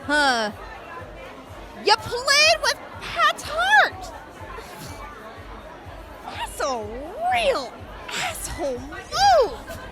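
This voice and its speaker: A woman doing a shrill rowdy tipsy woman. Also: heavily acted. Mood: scolding. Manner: loud, teasing, swings up and down. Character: rowdy tipsy young woman, brash and teasing. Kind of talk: audio drama acting.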